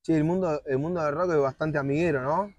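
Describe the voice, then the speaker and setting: Quick-talking porteño interviewer. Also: male voice, fairly high pitch, steady tone, darkish tone, dry recording. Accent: Buenos Aires Spanish. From Argentina. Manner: friendly, quick prompting questions. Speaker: interviewer, channel host. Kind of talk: casual interview. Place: outdoor park table.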